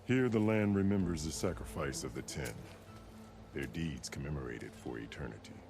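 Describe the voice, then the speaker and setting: Really deep booming war chief, stern and formal, game music under it. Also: male voice, lively intonation, normal speed. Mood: solemn commanding. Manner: formal, solemn. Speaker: game character. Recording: game audio with music behind.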